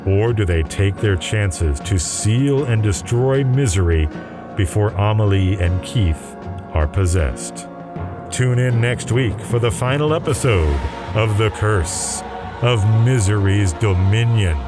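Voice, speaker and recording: A man's voice: on the low side, animated, slow. A man narrating a radio serial in a theatrical, ominous, suspense-building style. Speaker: narrator and announcer. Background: music.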